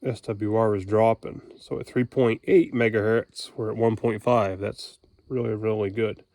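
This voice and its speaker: A man's voice: on the low side, steady tone, normal speed, darkish tone, no echo, studio quality. A man talking casually and informally in a folksy way, explaining things as he goes. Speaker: homesteader and amateur radio operator vlogging.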